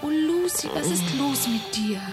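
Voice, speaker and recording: A woman's voice: sits high, big pitch swings, measured pace, over loud music. An actress playing an anxious, caring young woman in a radio horror drama, earnest and solicitous. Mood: worried, tender.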